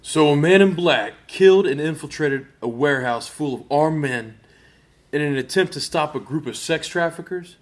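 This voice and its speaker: A man's voice: a little high, moderate pace, natural voice. A man acting a hard, sarcastic police detective who confronts a suspect in a script read. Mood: scornful.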